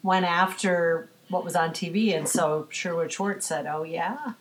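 A woman's voice: fairly low pitch, measured pace, noisy background. A middle-aged woman chatting casually as a podcast guest, conversational and self-deprecating. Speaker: podcast guest and quiz contestant. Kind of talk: casual chat.

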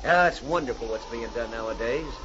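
An actor playing a jaunty, talkative frontier traveler in an old-time radio drama. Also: male voice, medium pitch, brisk, natural voice. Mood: jovial, teasing. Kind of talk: 1940s radio acting. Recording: muffled, hissy old radio recording.

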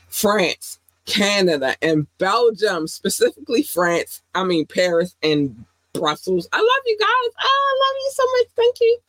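A woman's voice: no echo, low-quality recording. Bubbly, affectionate woman podcast host. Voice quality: affectionate, calls listeners "baby", jumps into high squeals when excited. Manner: chatty and rambling, laughs often. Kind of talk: casual solo podcast chat with shout-outs.

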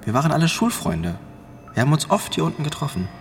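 An actor in a German audio drama playing a grave, guilt-ridden middle-aged man who tells his story in halting, ominous phrases. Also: on the high side, sing-song, vocal fry, slight background noise. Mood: regretful, grave.

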